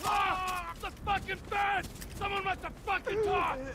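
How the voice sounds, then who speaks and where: An actor playing a volatile, aggressive male bank robber who shouts and swears in a video game cutscene. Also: extremely high pitch, flat delivery, unhurried. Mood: frantic. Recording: loud sirens and traffic noise.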